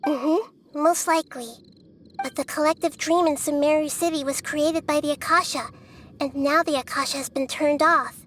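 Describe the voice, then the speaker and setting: A woman voicing a small, gentle girl goddess who explains things in a measured, earnest way in a video game. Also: high voice, fairly even intonation, a little hiss or hum. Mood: pondering. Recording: music behind the voice.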